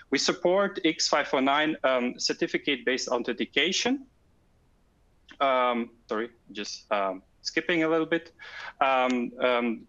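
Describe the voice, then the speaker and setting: A man giving a technical slide presentation as a product manager, halting and hesitant with frequent ums. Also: medium pitch, bright, forceful tone, lo-fi sound.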